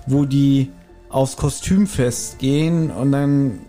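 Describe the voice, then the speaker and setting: A man's voice: medium pitch, steady tone, slow pace, mellow, soft background music. A man co-hosting a casual podcast chat, guessing quiz answers and thinking aloud with many hesitant fillers. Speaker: podcast co-host.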